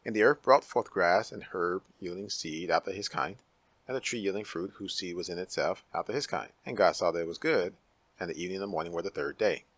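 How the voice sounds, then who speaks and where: Male narrator for dramatic scripture: low, rough-edged, solemn and declamatory. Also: fairly quick, fairly bright tone, no room sound.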